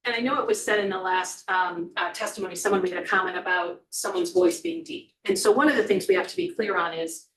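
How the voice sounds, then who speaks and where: Deep-voiced woman testifying, creaky, echoey room. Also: animated, fairly quick, few pauses, a bit bright, distant mic. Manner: earnest, explanatory, many ums. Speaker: corrections official testifying. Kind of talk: committee testimony. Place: legislative hearing room. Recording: echoey hearing room, voice off-mic.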